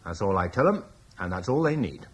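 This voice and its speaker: An actor playing a proud, battle-hardened British war leader, blunt and plain-spoken, with a husky voice. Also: male voice, low voice, sing-song, a little fast, heavily acted. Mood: solemn. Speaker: war chief. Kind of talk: radio drama acting.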